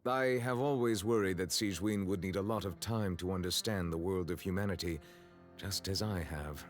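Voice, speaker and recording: An actor playing a formal, reserved gentleman of high office in a video game, measured, stiff and courteous. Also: faint music behind, natural voice. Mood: awkward, polite.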